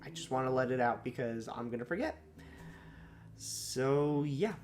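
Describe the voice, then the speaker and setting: Raspy young man rambling about a movie. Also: mid-range voice, lively intonation, unhurried, slight background noise. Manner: rambling, frequent fillers and self-corrections. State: slightly sore throat, a bit raspy. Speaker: movie reviewer. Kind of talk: unscripted first-impressions monologue.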